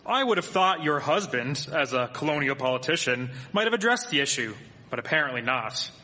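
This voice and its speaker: A man's voice: a little high, big pitch swings, brisk, pushed and bright. A man playing a blunt, skeptical 1870s townsman in a live radio drama. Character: grumbling, skeptical townsman in 1873, fond of parties. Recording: echoey hall, live stage recording.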